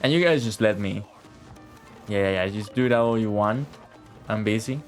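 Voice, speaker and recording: A man's voice: on the low side, moderate pace. A man giving casual gaming commentary as he streams a video game. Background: video game audio and music.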